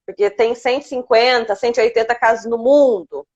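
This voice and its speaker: A woman's voice: fairly high pitch, fairly even intonation, brisk, darkish tone, highs cut off. A woman hosting a casual live chat with a mother, chiming in playfully. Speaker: host. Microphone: phone or laptop on a live video call.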